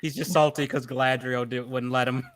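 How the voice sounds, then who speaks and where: A man's voice: mid-range voice, level tone, a little fast, fairly bright tone, no echo. A man co-hosting a casual chat, joking around and swearing mildly.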